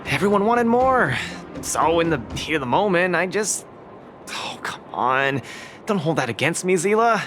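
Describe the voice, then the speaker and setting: Boyish champion fighter, upbeat, cocky, high pitch, animated, video game character. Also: male voice, normal speed. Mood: sheepish. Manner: breezy, boyish, eager. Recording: music clearly behind.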